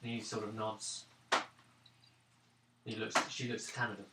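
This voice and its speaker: A man's voice: fairly low pitch, flat delivery, measured pace. A man narrating a tabletop roleplaying game as game master. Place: indoor room at a gaming table. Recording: roomy, hissy tabletop recording, distant mic.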